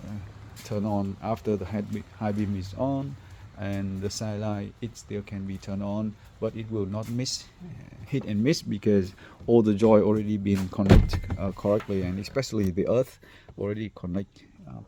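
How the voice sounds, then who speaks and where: Deep-voiced man, halting non-native English, mellow. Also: fairly even intonation, slight background noise. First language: not English. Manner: halting, hesitant phrasing. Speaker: car installer presenting finished work. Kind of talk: informal workshop walkthrough video.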